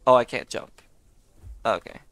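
A man with a raspy voice, hoarse from being sick, giving casual gameplay commentary, chatty, laughing often, with sudden excited outbursts. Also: unhurried, dry recording. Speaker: gaming YouTuber.

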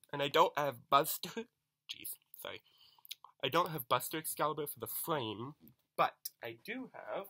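A young man talks through a toy unboxing with eager, chatty energy and a bright, forward tone. He is coming down with a cold. It sounds like a camera mic in a small room at home. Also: on the high side, unhurried, pauses often. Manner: excited, chatty, self-deprecating. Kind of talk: casual unboxing commentary.

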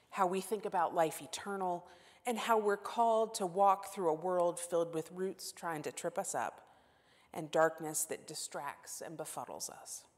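A woman in her forties with a Southern US accent, preaching a sermon at a pulpit and telling a story, sometimes dropping to a near-whisper for emphasis. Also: medium pitch. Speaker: guest preacher. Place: church sanctuary at a pulpit. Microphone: pulpit microphone. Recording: long church reverb.

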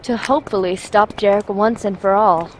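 A short-tempered, insistent woman mentor from a fantasy radio play, played by an actress. Her voice is medium in pitch, with slight background noise. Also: steady tone, normal speed, natural voice. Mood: earnest, explaining. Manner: earnest, insistent. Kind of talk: fantasy audio drama acting.

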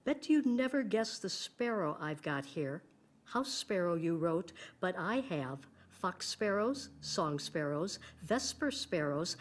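An older woman reciting a chatty poem aloud at a podium microphone. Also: fairly low pitch. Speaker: amateur reader in a reading-aloud group. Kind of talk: expressive poetry recitation. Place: library meeting room, indoors.

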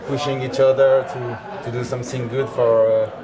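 A young man from France with a French accent, a pro skier chatting in halting, relaxed English. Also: medium pitch, crowd noise. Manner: halting, relaxed, short answers. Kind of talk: casual chat. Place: lodge room with trophies, indoors.

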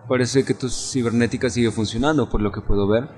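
A man's voice: mid-range voice, steady tone, brisk, a little mellow, a little hiss or hum, close to an everyday voice. Man as a nerdy sidekick, Latin American accent. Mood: concerned, wry. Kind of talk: amateur fan dub of an adventure game.

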